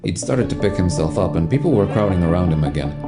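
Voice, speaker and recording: A man's voice: low voice, lively intonation, quick pace. A man narrating an audio drama in a scripted storytelling style. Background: music.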